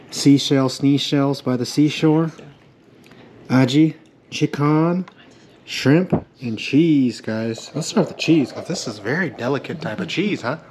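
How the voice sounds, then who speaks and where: A man's voice: mid-range voice, darkish tone, a little hiss or hum. A man in his thirties vlogging restaurant meals at arm's length, upbeat and savoring each bite, calling out to his viewers. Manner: enthusiastic, keeps saying "guys", savoring with hums. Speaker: food vlogger. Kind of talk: casual food vlog narration. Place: restaurants in Kyoto, indoors and riverside outdoors. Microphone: handheld camera at arm's length.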